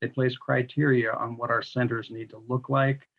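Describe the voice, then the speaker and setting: A man working as a long range planner, with a raspy voice, reading out planning policy at a public meeting. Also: mid-range voice, little pitch movement, moderate pace, narrow, tinny audio.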